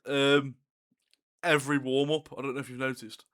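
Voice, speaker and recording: A young man with a Scouse-tinged Northern English accent co-hosts a football chat show. His voice is mid-pitched and easygoing. He agrees readily and laughs often. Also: little pitch movement, moderate pace, fairly bright tone. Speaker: podcast co-host.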